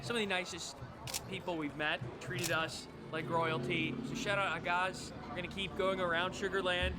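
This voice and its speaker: A man hosting a travel documentary, narrating brisk facts and talking with people he meets. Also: high-pitched, level tone, very noisy. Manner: brisk, informative. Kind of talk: documentary narration and street interviews. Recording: narration over background music.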